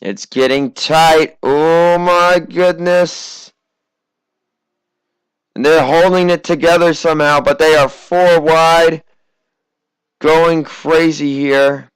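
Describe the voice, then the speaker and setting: A teenage American man from Massachusetts giving casual, conversational amateur racing commentary, his voice slightly hoarse from a cough. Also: a little high, steady tone, slow pace, long pauses, dry recording, low-quality recording. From Massachusetts, United States. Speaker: race commentator.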